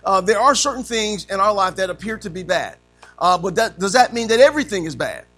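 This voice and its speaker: A man teaching Sunday school in a folksy way, his voice a little raspy from allergies, dropping in amens often. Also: high-pitched, animated, brisk, a bit bright, soft background music. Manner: punctuates with frequent amens, calls to the class.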